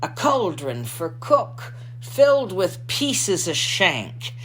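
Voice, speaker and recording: Woman as a slow, theatrical old pirate storyteller. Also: lowish voice, very animated, fairly bright tone, a little hiss or hum, exaggerated voice. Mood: wondering, dramatic. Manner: theatrical, drawn-out, rhyming pirate talk. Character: salty old pirate lookout telling a Christmas yarn.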